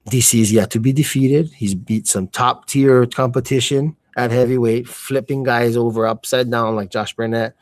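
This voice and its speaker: A man giving casual, slangy live fight commentary, thinking aloud in a halting way. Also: medium pitch, a little mellow, no echo, clean studio sound. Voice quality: slangy, informal speech.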